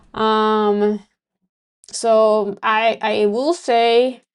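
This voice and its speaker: A woman's voice: on the high side, clean tone. A woman from Venezuela with a Venezuelan Spanish accent, hosting a live trading stream in casual, think-aloud commentary full of ums. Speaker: livestream trading host.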